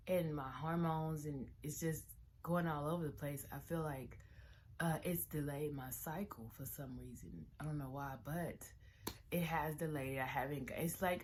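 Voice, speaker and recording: A young woman with a hoarse morning voice talks casually to her camera from her bedroom. Her voice sits very low for a woman, and she rambles with restarts, sometimes sinking into near-whispers. A faint hiss runs under the recording. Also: a little mellow. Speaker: vlogger.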